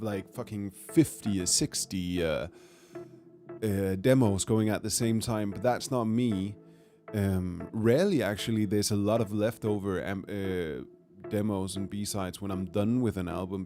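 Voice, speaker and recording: A man, hoarse after a cold, chatting casually to a livestream from his studio and thinking aloud with many pauses and fillers. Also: on the low side. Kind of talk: casual chat to a livestream audience. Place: music studio. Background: his own track playing quietly underneath.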